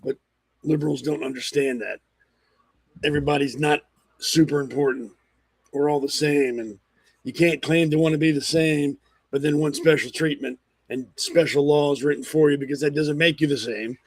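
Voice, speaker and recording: A man hosting a political talk show, blunt and rambling with lots of ums, hoarse from a cold. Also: on the high side, fairly even intonation, moderate pace, no room sound. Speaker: podcast host. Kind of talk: opinionated political commentary.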